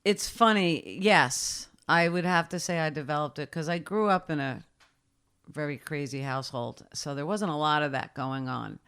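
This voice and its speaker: A woman's voice: low voice, normal speed, fairly bright tone, clean tone. A woman in her sixties, a guest in a casual interview, speaking emphatically and directly with short, punchy repeated advice. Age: 60s.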